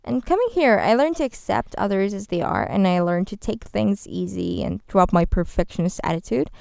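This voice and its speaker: A woman's voice: mid-range voice, lively intonation, normal speed, vocal fry, a little mellow, clear, resonant tone, no echo. A woman from Ghana, a guest on a radio show, chatting in a bubbly, rambling way with frequent restarts in a studio. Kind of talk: casual interview chat. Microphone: studio microphone.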